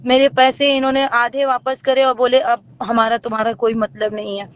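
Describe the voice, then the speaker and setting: A woman's voice: fairly high pitch, little pitch movement, moderate pace. A woman giving her account as an interview guest over a phone call, speaking in an emphatic, accusatory way. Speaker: interview guest, actor and singer. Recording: dull lo-fi phone recording, clear noise.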